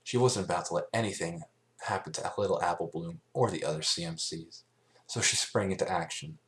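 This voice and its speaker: A man with a low voice narrates a story from a script. He reads as an amateur would, haltingly, sometimes tripping over a word and picking it up again. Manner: halting reading with stumbles over words. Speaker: amateur fan fiction reader.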